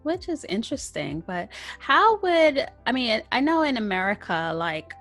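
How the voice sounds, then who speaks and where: A woman's voice: a little high, sing-song, moderate pace, fairly bright tone, soft background music. A woman hosting a podcast, asking loose, searching questions in a casual chat. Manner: searching questions with restarts and fillers. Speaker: podcast host.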